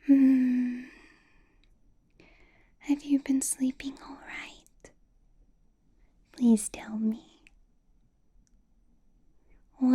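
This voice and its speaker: A woman playing a doting, possessive and sweetly menacing female vampire boss in a whispered ASMR roleplay, with slow, breathy delivery. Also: a little high, heavily acted. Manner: breathy, slow whispering with soft little laughs.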